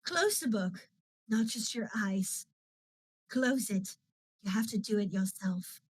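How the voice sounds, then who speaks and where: A woman's voice: medium pitch, expressive, takes it slowly, slightly rough. A woman reading aloud and voicing a brusque older female librarian who gives curt instructions. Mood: firm.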